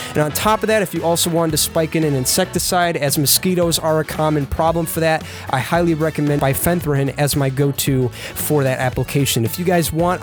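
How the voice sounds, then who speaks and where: A man with a slightly raised pitch explains lawn care in an upbeat, chatty tutorial. He keeps talking directly to his viewers as "you guys." Soft music plays faintly under his voice.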